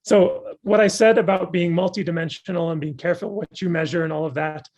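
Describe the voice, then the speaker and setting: A man's voice: high-pitched, fairly even intonation, brisk, a little mellow. A man losing his voice, thoughtfully and enthusiastically answering audience questions after giving a talk. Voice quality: gravelly and husky. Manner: thoughtful, enthusiastic academic explaining. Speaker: guest speaker.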